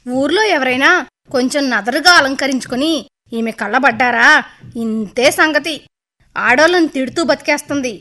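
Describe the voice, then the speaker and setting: A woman's voice: high-pitched, moderate pace, sharp tone. A woman playing a blunt, knowing village gossip with a coastal Andhra accent in an audio drama.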